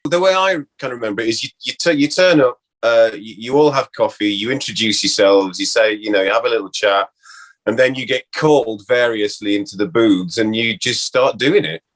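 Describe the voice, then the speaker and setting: Man reminiscing in casual, halting chat. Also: fairly low pitch, fairly bright tone, poor audio. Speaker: actor, interview guest.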